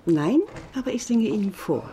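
An actress playing a friendly, matter-of-fact office colleague in a naturalistic radio drama. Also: female voice, mid-range voice, expressive, normal speed, dark tone, a little hiss or hum, lightly acted.

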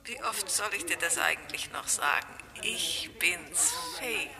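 A woman's voice: fairly low pitch, a little slow, bright, forceful tone, full character voice. An actress in a radio drama voicing a haunting woman caller who insists on being remembered, slipping into whispers. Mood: eerie. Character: Faye, eerie woman calling from a station.

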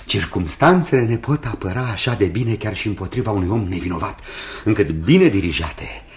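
An actor in a radio drama playing a menacing man obsessed with love, theatrical and intense, shifting from hushed to fierce. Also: on the low side, animated, lightly acted. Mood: cold, insinuating.

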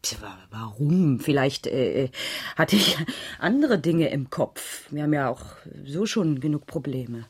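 Low husky lady teacher in a radio crime play, concerned, thinks before she answers, bit defensive. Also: expressive, a little slow, full character voice. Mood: thoughtful, hesitant. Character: concerned primary school teacher being questioned, thoughtful and defensive. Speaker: actor in a radio crime drama. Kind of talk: 1980s German radio drama dialogue.

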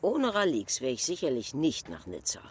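A woman's voice: deep voice, wildly swooping pitch, moderate pace, slight background noise. A woman acting a scornful, capricious Parisian prostitute of 1920 in a German radio play. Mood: brooding. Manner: mocking, capricious.

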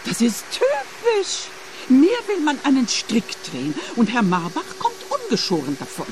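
A woman's voice: fairly high pitch, wildly swooping pitch, a little slow, noisy background. A haughty, scheming landlady. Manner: prim, haughty, cutting. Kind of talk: radio play acting.

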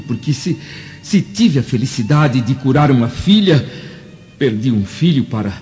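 A man's voice: on the high side, a little mellow, breathy edge. An actor playing a proud, bitter, grieving Roman father in a declamatory radio drama style. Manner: declamatory, anguished. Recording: dull lo-fi radio drama, music underneath.

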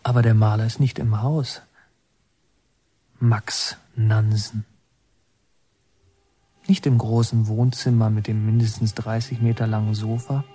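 An actor playing a brooding young inmate who narrates his memories in a radio play, halting, reflective and poetic. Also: fairly low pitch, level tone, warm tone, breathy edge, no echo.

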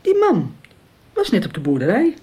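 An actress playing a sharp, probing farmer's wife with a teasing manner in a radio soap. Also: female voice, medium pitch, huge pitch swings, normal speed, a little hiss or hum. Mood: teasing, suspicious. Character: sharp, prying farmer's wife visiting her brother-in-law.

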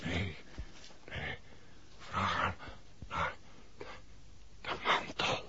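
A man's voice: sits low, little pitch movement, pushed and bright, exaggerated voice. An actor playing a badly injured, barely conscious man in a 1960s radio drama, struggling weakly to get words out. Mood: weak, desperate. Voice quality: weak, halting, breathy gasps. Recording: dull vintage radio drama recording.

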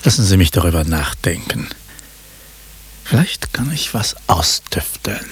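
An actor playing a sly, musing magician and confidant with a very raspy, breathy voice in a German radio drama. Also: male voice, low-pitched, sing-song, measured pace, exaggerated voice. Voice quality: very hoarse, breathy rasp.

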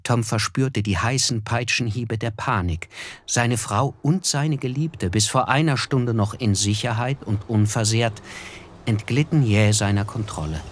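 An actor playing a reflective, observant narrator in a radio drama, telling his memories in measured, literary phrases. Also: medium pitch, natural voice. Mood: reflective, grave.

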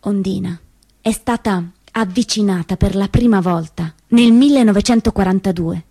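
A woman narrating a scripted story as a solo theatre performer, expressive and deliberate in her telling. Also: medium pitch. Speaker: solo stage actress and storyteller.

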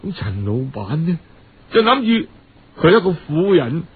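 A man's voice: fairly high pitch, sing-song, slightly rough, narrow, tinny audio. A man with a Cantonese accent telling a story in a dramatic, colloquial radio audiobook style. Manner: dramatic, colloquial, with drawn-out phrase-end particles.

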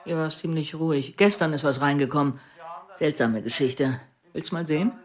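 An actor playing a nosy police colleague in a radio drama, with casual, probing talk. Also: male voice, fairly high pitch, normal speed, lightly acted.

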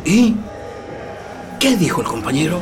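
Animated, concerned male prisoner. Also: on the high side, unhurried. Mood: impatient. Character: prudent, concerned male political prisoner plotting escape. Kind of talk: radio drama acting. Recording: music behind the voice.

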